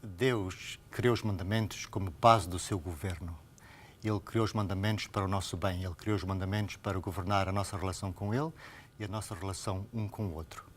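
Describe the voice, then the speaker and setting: Low-voiced Angolan male pastor. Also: fairly even intonation, brisk, a little hiss or hum. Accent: Angolan Portuguese. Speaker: pastor, programme guest. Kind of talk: Bible teaching in conversation.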